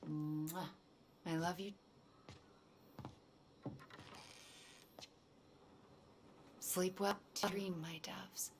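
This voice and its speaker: A loving mother reads a fairy tale at bedtime in a video game. Her voice is on the low side, slow and hushed, with a measured storyteller's delivery. The recording has clear noise behind it. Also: steady tone. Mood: tender.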